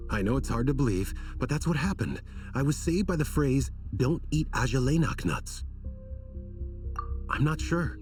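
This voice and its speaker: An actor playing an earnest, troubled and apologetic desert scholar in a video game, wordy and theatrical in manner. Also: male voice, mid-range voice, lively intonation, normal speed, a little mellow, recorded from a distance, faint music behind, natural voice. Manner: wordy, self-conscious, theatrical.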